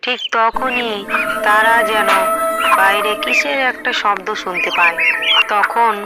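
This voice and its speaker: A woman's voice: mid-range voice, takes it slowly. A woman narrating a scripted horror story for a cartoon. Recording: loud background music.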